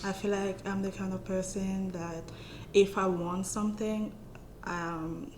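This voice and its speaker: A woman in her twenties from Cameroon, a guest in a casual interview, speaking softly and hesitantly with many filler words. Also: medium pitch, flat delivery, measured pace, roomy sound, a little hiss or hum, poor audio. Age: 20s.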